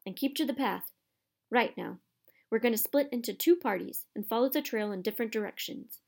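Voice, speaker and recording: A woman voicing a gruff, burly male gamekeeper in an audiobook, growling in rustic dialect with dropped word endings. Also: fairly high pitch, brisk, darkish tone. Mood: serious, instructing. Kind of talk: audiobook character voice.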